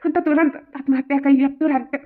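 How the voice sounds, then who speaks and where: A woman's voice: high-pitched, fairly even intonation, brisk, background noise, close to an everyday voice. A woman voicing a melodramatic, wheedling young male lover in a cartoon comedy, repeating phrases with an eastern Uttar Pradesh accent. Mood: anguished. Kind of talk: cartoon family comedy acting. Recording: tinny, phone-like narrow audio.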